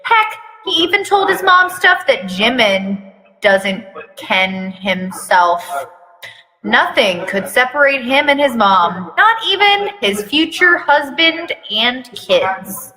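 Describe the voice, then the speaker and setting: A woman doing a dramatic reading of fan fiction, with sarcastic, deadpan asides. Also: a little high, slow. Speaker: reader performing a fan fiction aloud. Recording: long hall-like reverb.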